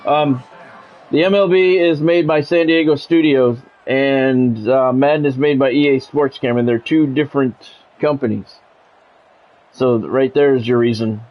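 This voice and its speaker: A man's voice: mid-range voice, fairly even intonation, moderate pace, dull audio. A middle-aged man doing live football game commentary as a streamer, with a practiced sportscaster delivery and casual asides. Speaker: video game streamer and play-by-play announcer.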